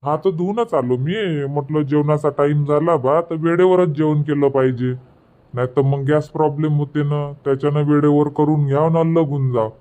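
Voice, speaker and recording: A man's voice: fairly high pitch, fairly even intonation, normal speed, warm tone, lightly acted. A man voicing an older, doting farmer grandfather in a cartoon comedy, homely and indulgent, with a rural Vidarbha Marathi accent. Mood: easygoing.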